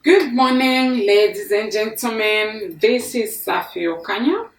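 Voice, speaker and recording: Woman from Congo, slow deliberate storyteller, gravelly edge, echoey studio picked up from a distance. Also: a little high. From Congo DRC. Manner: slow, deliberate, expressive with hand gestures. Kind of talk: personal life story to camera. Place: studio before a green screen. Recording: echoey room, mic at a distance.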